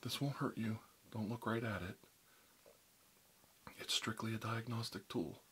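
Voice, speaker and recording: A man speaking softly and reassuringly, often in a whisper, as a caregiver in a medical roleplay. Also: lowish voice, steady tone, measured pace, a little hiss or hum.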